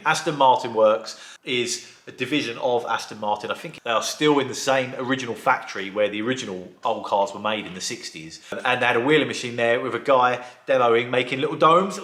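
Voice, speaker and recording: A man who restores classic cars, chatting casually in a vlog, with a croaky voice from a sore throat. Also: medium pitch, fairly bright tone, echoey room. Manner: chatty, rambling, enthusiastic. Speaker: vlogger and car restorer.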